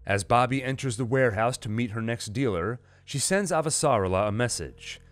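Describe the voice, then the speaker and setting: A man's voice: mid-range voice, expressive, brisk, no room sound. A man narrating a scripted, dramatized story recap in the manner of a host. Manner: acts out quoted dialogue within narration. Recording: music under the voice. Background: dramatic music and sound effects.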